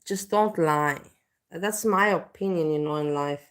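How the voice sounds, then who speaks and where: A woman's voice: sits low, a little slow. A woman from Indonesia hosting a casual livestream, rambling and leaning on filler phrases like "you know, like". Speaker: livestream host.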